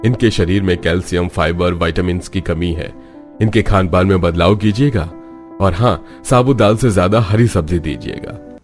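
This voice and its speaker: A man acts a serious family doctor from a cartoon tale. The voice is dropped low and gives measured advice over soft music. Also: lightly acted. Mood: grave. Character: grave male family doctor giving advice.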